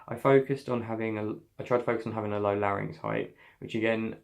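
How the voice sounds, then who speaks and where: A man's voice: fairly even intonation, fast talker. A young man, an acting student, reflecting on his voice work in a scene, talking thoughtfully with many restarts. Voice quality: slightly deep voice.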